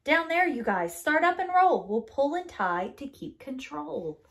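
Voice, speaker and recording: Plucky kid skid steer, voiced by a woman, storybook character, high, animated, earnest, eager, helpful. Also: measured pace, heavily acted. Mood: determined, urgent.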